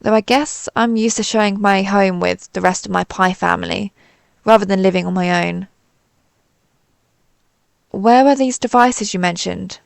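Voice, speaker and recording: A woman's voice: medium pitch, moderate pace, creaky, long pauses, no room sound, natural voice. A woman playing a timid, suspicious alien pizza slice who rambles hesitantly and puts herself down in a scripted audio roleplay. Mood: curious, pleased. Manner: hesitant, rambling, self-deprecating.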